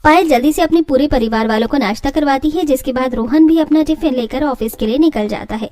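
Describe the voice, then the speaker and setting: A woman's voice: high-pitched, a little fast, a little mellow. A woman delivering scripted storytelling narration as the narrator of a family tale.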